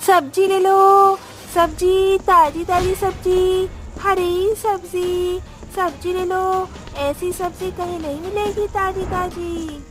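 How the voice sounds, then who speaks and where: A woman's voice: extremely high pitch, fairly even intonation, slow pace, music in the background. A woman voicing a female vegetable seller calling out her wares in sing-song fashion in a storybook tale.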